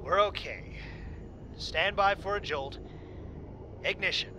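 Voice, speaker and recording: A man playing a steady but harried human pilot in an audio drama, with clipped cockpit talk. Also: sits high, takes it slowly, loud music behind the voice. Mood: relieved, steady.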